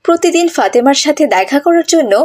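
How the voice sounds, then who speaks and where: A woman's voice: high-pitched, lively intonation, fairly quick, darkish tone. A woman reading scripted narration for a cartoon story.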